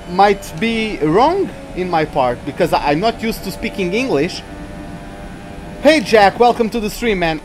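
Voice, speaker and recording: A man's voice: high-pitched, a little hiss or hum. A young man with a Portuguese accent, English not his first language, rambling through live racing-game commentary and greeting viewers. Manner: rambling, hesitant, frequent restarts and fillers. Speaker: gaming streamer.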